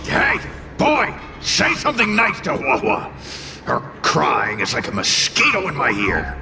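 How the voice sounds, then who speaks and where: Gravelly, high, cranky fire demon. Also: male voice, wildly swooping pitch, a little slow, music in the background, full character voice. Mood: irritated, grudging. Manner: gruff, blustering, scolding. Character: cranky, arrogant male fire demon living in a tail. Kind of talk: video game cutscene acting.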